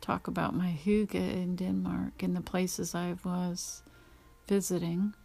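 A middle-aged American woman talking informally and personally about her own paintings, halting, reflective and emotional. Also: fairly low pitch, little pitch movement, creaky, mellow, slightly rough, soft background music. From United States.

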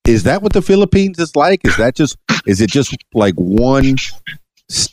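A man's voice: mid-range voice, sing-song, moderate pace, darkish tone. A male podcast host from Miami, chatting casually and teasing his guests with lots of questions. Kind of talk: casual interview chat.

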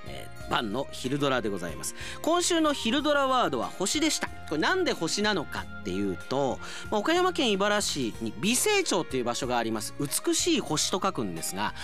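A man's voice: sits high, a bit bright. A man hosting a radio programme as an announcer, presenting in a chatty style from a studio.

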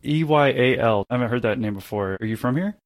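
A male podcast host asks casual, curious questions in a quick, rolling delivery. His voice sits at an ordinary middle pitch. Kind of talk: conversational interview.

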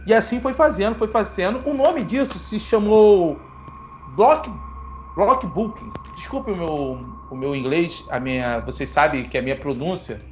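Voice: medium pitch, animated, a little slow, a little hiss or hum. A host, explaining film history in a casual, playful way to the audience. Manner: playful, self-mocking asides.

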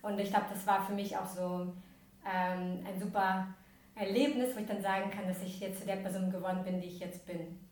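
A woman's voice: medium pitch, steady tone, normal speed, darkish tone. A young woman from Ukraine chats as a podcast guest. She talks freely, with lots of fillers and restarts. Her voice comes from some distance off the mic, in a very echoey room. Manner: chatty, many fillers and restarts. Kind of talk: casual interview talk.

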